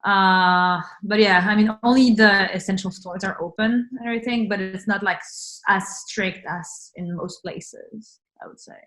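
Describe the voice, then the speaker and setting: A woman's voice: mid-range voice, fairly even intonation, moderate pace, hardly any pauses, a bit bright, low-quality recording. A woman from France, a guest on a video call, chatting casually with lots of "like" and "uh" and easy laughter. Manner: chatty, many fillers and laughs. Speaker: interview guest. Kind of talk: casual chat.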